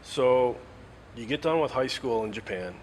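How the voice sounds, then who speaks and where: A man's voice: medium pitch, lively intonation, moderate pace, slight background noise. A man interviewing a student athlete, asking long, winding questions with fillers.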